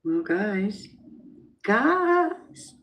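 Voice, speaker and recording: Slow-talking low-voiced woman reader. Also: big pitch swings, a little hiss or hum. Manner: chatty, rambling, drifting off topic. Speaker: tarot reader. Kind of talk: casual live-stream reading.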